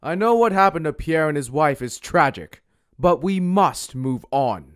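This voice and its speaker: A man playing a scheming, smooth-talking werewolf pack rival in a roleplay drama, measured and condescending. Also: on the high side, lively intonation, no echo, studio quality. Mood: smugly reasonable.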